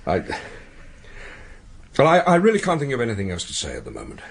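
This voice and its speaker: An actor playing a formal, conciliatory male head of an academic board in a radio drama, making solemn, halting speeches. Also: mid-range voice, huge pitch swings, creaky, slightly rough, exaggerated voice. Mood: shocked, solemn.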